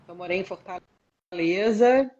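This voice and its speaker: A woman's voice: mid-range voice, unhurried, music in the background. Middle-aged Brazilian woman on muffled stream. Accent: Brazilian, mixed Rio and São Paulo. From Brazil, born in Rio and raised partly in São Paulo state. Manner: rambling, peppered with tag questions. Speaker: interview guest, lawyer with own practice. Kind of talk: casual live conversation. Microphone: internet video call.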